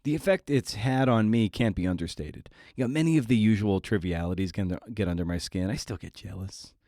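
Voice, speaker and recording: A man's voice: on the low side, brisk, mellow, dry recording, clean studio sound. A man hosting a podcast, talking casually in an informal, slangy and effusive way. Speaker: podcast host and interviewer. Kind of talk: casual podcast chat and monologue.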